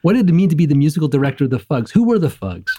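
A male host interviewing a guest, agreeing often and steering with short questions. His voice sounds dark and relaxed. The room sound is dry. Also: fairly high pitch, racing, no echo. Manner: affirming, prompting questions. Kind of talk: conversational interview.

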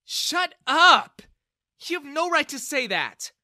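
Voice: high-pitched, big pitch swings, slow pace, bright, forceful tone, dry recording, clean studio sound, voice pushed up, exaggerated voice. Narrator doing a fiery young daughter. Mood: angry. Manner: indignant, earnest.

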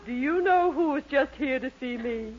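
A woman playing an excitable, gullible wife in a 1940s radio situation comedy. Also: high voice, normal speed. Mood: inquisitive. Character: excitable, gullible wife calling for her husband. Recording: dull lo-fi 1940s radio recording.

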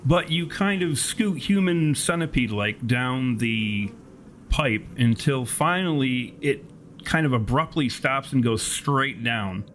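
A man narrating a tabletop horror game as game master, in descriptive, deliberate, self-correcting storytelling. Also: mid-range voice. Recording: steady background noise.

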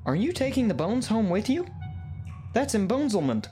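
A man's voice: sits high, big pitch swings, moderate pace, mellow, faint music behind. Man as a theatrical pit boss, swooping intonation. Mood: prim, officious. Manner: theatrical, formal, genteel phrasing. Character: chatty, polite male pit boss guiding new hires. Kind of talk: comedic fantasy audio drama acting.

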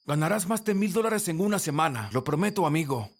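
A man's voice: on the high side, expressive, fairly quick. A man voicing a confident, persuasive young gang member in a narrated story. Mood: cocky, friendly. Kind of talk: storytelling character dialogue.

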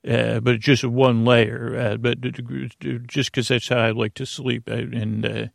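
Gravelly guy doing a sleepy-time podcast, meanders and stammers, close dry sound. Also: medium pitch, flat delivery, no echo. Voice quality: creaky, gravelly. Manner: halting, meandering, full of stammered false starts and tangents. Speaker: podcast host. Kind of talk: rambling bedtime monologue to help listeners sleep.

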